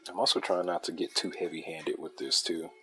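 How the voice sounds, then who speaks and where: Deep-voiced, laid-back man rambling. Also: flat delivery, brisk, pushed and bright, soft background music. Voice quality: deep, soothing voice. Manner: laid-back, loose and chatty, with laughs and restarts. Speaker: artist drawing a comic on a livestream. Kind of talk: casual rambling chat while drawing.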